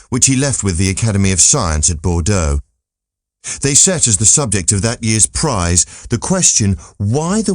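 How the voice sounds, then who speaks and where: Male narrator for classic satire: deep register, measured and wry delivery with expressive intonation. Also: no room sound, studio quality. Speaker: audiobook narrator. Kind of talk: scripted literary narration.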